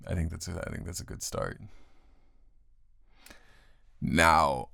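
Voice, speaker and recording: Male, very low-pitched with heavy fry, improvised boyfriend roleplay as a teasing, affectionate roommate; casual, halting delivery. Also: pushed and bright, no room sound, clean studio sound. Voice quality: low, gravelly. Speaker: audio roleplay performer.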